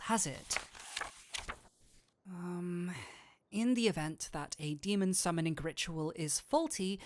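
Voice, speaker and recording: A man acts out a nerdy male incubus demon in an intimate audio roleplay. He speaks slowly and theatrically, teasing and coaxing with a kindly streak. The sound is dry, with no room around the voice. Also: sits high, no room sound. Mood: reading officiously. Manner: coaxing, playful, theatrical switches between seductive and flustered.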